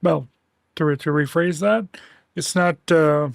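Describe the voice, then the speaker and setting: A man chatting casually on a home livestream through a headset mic, rambling with lots of restarts and fillers in a relaxed, soft-spoken way. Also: on the high side, unhurried, gravelly, no echo, clean studio sound. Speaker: streamer.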